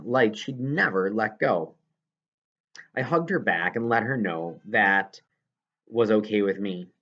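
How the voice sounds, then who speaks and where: Low-voiced man reading a story, lively intonation. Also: dull audio. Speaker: audiobook reader. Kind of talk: scripted narration, reading a children's novel aloud.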